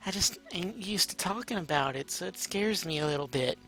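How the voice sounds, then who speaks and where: A man's voice: high-pitched, expressive, brisk, fairly bright tone, faint music behind, pitched above the performer's own voice, poor audio. A man voicing a shy, flustered female animal baker from a small town, folksy and hesitant, in a story reading. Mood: embarrassed, hesitant.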